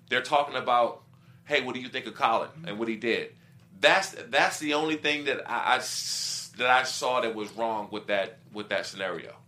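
A man's voice: mid-range voice, sharp tone. A middle-aged man, a former professional football player, talking casually as a guest and explaining his views with examples and quoted asides. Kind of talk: casual sports talk.

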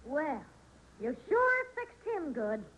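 A woman's voice: high voice, very animated, slow pace, a bit bright, heavily acted. An actress playing an exasperated, practical American housewife in an old-time radio sitcom, pleading with her husband. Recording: noisy vintage radio recording.

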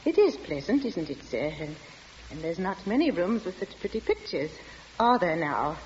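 A woman's voice: fairly low pitch, big pitch swings, normal speed, a little mellow. Fluttery landlady, old radio. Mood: eager, nervous. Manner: fluttery, stammering, eager to please. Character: anxious, deferential working-class married landlady. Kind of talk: old-time radio drama acting. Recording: dull, noisy old radio recording.

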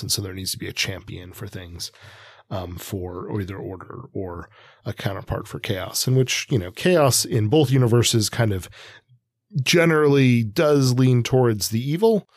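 A man co-hosting a podcast, giving conversational analysis in a hesitant, measured way, thinking aloud as he goes. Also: fairly low pitch, no room sound, clean studio sound. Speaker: podcast co-host, comics expert.

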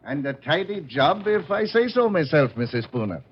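Through a dull old radio recording comes a British deserter who kills for pay. He is husky and fairly high, swaggering and leering with jaunty menace. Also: big pitch swings, moderate pace. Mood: gloating. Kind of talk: old-time radio drama acting.

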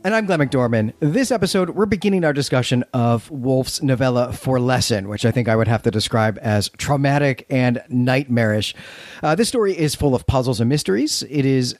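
A man's voice: mid-range voice, expressive, moderate pace, darkish tone, dry recording, soft background music. Dry, close-sounding man co-hosting a podcast. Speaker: podcast co-host. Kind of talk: casual podcast talk.

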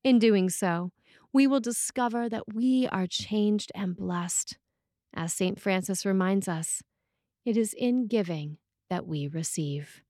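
A woman priest reads a scripted sermon in a measured, deliberate way, with pauses between phrases. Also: mid-range voice, mellow, no room sound, studio quality.

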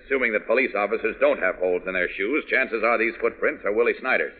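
An actor playing a confident, commanding male superhero, brisk and decisive, in 1940s radio serial style. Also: on the low side, husky. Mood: focused, confident. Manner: brisk, decisive, heroic delivery. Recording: old radio, tinny, noisy.

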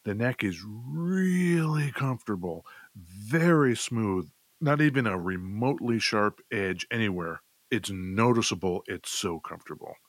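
A man's voice: lowish voice, lively intonation, unhurried, no room sound. A man co-hosting a casual gear chat, explaining things informally with some light joking. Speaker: podcast co-host reviewing guitar gear.

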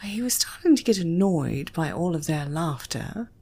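A woman's voice: deep voice, expressive, normal speed, darkish tone. A woman with a British accent, reading a scripted story expressively as an audiobook narrator.

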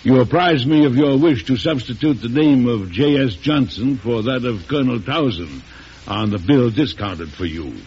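A man's voice: medium pitch, lively intonation, normal speed, darkish tone, husky. An older man playing an aging, stern and wry American president in an old-time radio drama, formal and commanding. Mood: wry, measured. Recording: hissy, muffled vintage radio recording.

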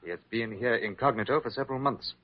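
A man's voice: mid-range voice, quick pace, lightly acted. Man playing a solemn royal confidant, muffled vintage radio. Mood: grave. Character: solemn male confidant of an exiled king. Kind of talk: old-time radio drama acting. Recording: dull lo-fi vintage radio recording.

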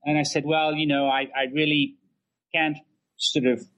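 A middle-aged man, an academic guest in an interview, talking conversationally in wordy, halting and measured phrases. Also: a little high, flat delivery, a bit bright, rough recording. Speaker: history professor and author, interview guest.

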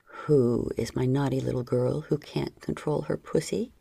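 A woman's voice: steady tone, gravelly, dark tone, breathy edge, dry recording, heavily acted. A woman voicing a commanding, teasing adult male lover in an audiobook. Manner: low, slow, dominant and teasing.

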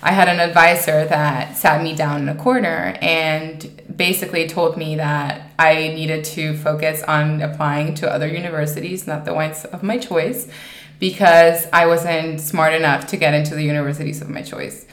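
A woman's voice: low-pitched, steady tone, moderate pace. A woman in her twenties from Mexico, telling personal stories as an interview guest in an emphatic, conversational way full of "you know". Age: 20s. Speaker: podcast guest. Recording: roomy, echoing sound.

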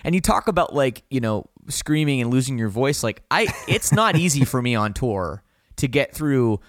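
A man's voice: medium pitch, very animated, normal speed, no room sound, clean studio sound. A man, a band's singer, talking casually and frankly in an interview, often restarting his sentences. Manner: rambling, self-correcting, frank. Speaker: band vocalist guest.

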